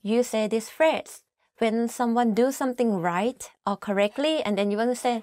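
A young woman with a Thai accent teaches phrases in a conversational way. Her voice sits a little high, and the sound is dry and close, as from a lapel mic in a small studio. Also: fairly quick, darkish tone, no echo. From Thailand. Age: 20s. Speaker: language teacher. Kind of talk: informal explanatory language lesson to camera.

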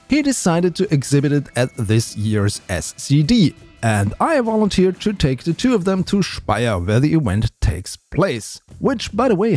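An enthusiastic man tells a space story in a scripted vlog voice-over. His pitch rises and falls a great deal as he narrates. A little music plays softly beneath him. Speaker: space video creator.

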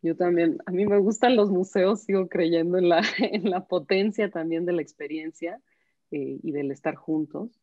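Woman panelist, deeper mellow voice, muffled lo-fi call audio. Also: normal speed, no room sound. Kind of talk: panel discussion on video call. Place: home living room. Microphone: video call.

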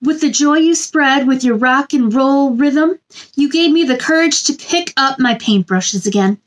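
High-pitched woman reading slowly to children. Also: low-quality recording. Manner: gentle sing-song delivery addressed to young children. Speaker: children's storytime host reading a picture book aloud. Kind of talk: children's read-aloud with chatty asides. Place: small indoor room.

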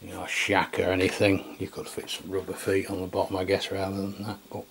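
A man's voice: low voice, completely flat delivery, a little fast, crowd noise. A middle-aged man giving a casual, unscripted product demonstration, thinking aloud in a tentative way. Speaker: radio product reviewer. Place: indoors at a tabletop. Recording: clear background noise, room ambience.

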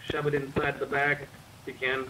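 A man's voice: medium pitch, completely flat delivery, a little fast, sharp tone, rough recording. A man talking casually in character as a player in an online roleplay game. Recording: faint noise behind game voice chat.

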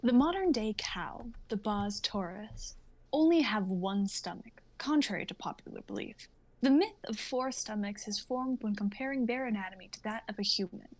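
A woman's voice: medium pitch, lively intonation, normal speed, a little mellow, no room sound. A woman playing a formal, informative nature documentary narrator in an amateur script reading. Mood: measured, informative.

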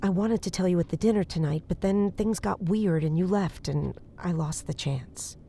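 A woman's voice: lowish voice, warm tone, slight background noise, lightly acted. An actress playing an earnest, uneasy woman psychic in a horror audio drama, speaking in a hesitant, naturalistic way. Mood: earnest, concerned. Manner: hesitant, halting. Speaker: voice actor.

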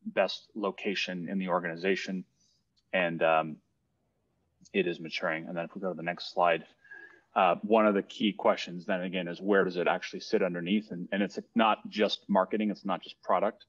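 A man from Los Angeles co-hosting a webinar, explaining in a relaxed, halting way with repeated words. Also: sits low, no echo. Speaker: webinar co-host. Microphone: video call. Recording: dull, dry online call audio.

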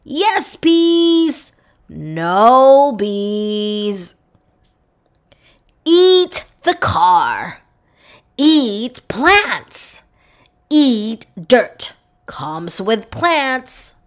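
A woman voicing an earnest, boastful pet dog in a children's picture book, with emphatic, drawn-out delivery. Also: on the high side, big pitch swings, exaggerated voice. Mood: emphatic warning.

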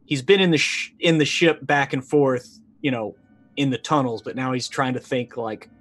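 A man in his forties improvising casual narration as a tabletop game player, halting as he thinks aloud. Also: medium pitch, a bit bright, faint music behind. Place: small indoor room, remote stream.

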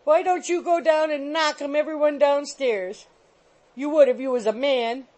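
A woman voicing a furious working-class landlady in an audiobook, shrill and screaming, haranguing with contempt. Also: steady tone, normal speed, a little mellow, natural voice. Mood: scornful, scolding.

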